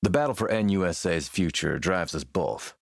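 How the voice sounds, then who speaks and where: A man playing a shrewd, menacing militia boss and smooth-talking dealmaker in a video game, gravelly, measured, self-assured and wry. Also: deep voice, dry recording, clean studio sound. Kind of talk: video game character dialogue.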